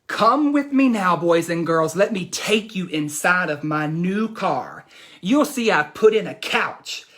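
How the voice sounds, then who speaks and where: A woman's voice: lowish voice, animated, a little slow, a bit bright. A woman voicing an imaginative, proud boy from a storybook, showing off his dream in an emphatic, showman-like read-aloud. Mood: eager, showy.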